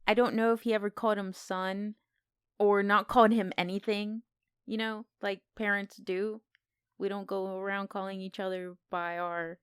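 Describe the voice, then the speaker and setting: A woman's voice: on the low side, clear, resonant tone, dry recording, clean studio sound. A woman co-hosting a podcast, discussing film in a casual, conversational way. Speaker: podcast co-host.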